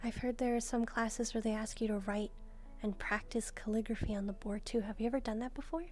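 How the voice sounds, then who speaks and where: Woman as a mellow, gentle demon maid. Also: fairly high pitch, fairly even intonation, a little fast, close to an everyday voice. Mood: curious. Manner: gentle, musing, softly teasing. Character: gentle, devoted young female demon maid. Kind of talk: soft-spoken roleplay addressed to the listener. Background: background music.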